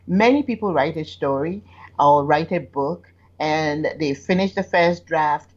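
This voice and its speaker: A woman's voice: deep voice, unhurried, muffled recording. A woman who grew up in Ghana, a writer guest telling candid personal stories and laughing often. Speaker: author guest. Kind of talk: candid conversational storytelling.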